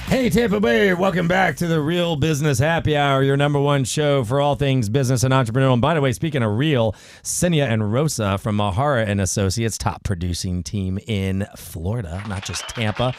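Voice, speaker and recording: A man's voice: medium pitch, a little fast, no room sound, faint music behind. Chatty male talk radio host. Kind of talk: casual business talk radio.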